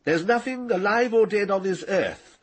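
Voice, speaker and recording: An actor playing an arrogant, cold-blooded older knight in a radio drama, precise and condescending. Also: male voice, high-pitched, animated, normal speed. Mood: cold, menacing. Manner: condescending, precise, coolly menacing.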